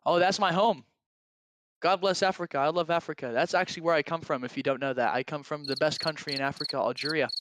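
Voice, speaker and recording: A man's voice: on the high side, fairly even intonation, fast, heavy background noise. A young man joining as guest commentator on a livestream, hammy and boastful.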